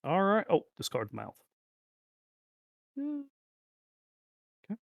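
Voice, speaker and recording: A man's voice: high-pitched, huge pitch swings, slow, gravelly, no echo, clean studio sound. A middle-aged man chatting casually as he plays a card game, talking through his moves and muttering to himself and the cards.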